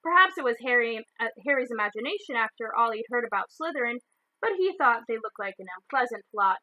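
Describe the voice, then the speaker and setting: A woman storyteller reading a children's novel aloud in expressive narration. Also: a little high, quick pace, dry recording, poor audio.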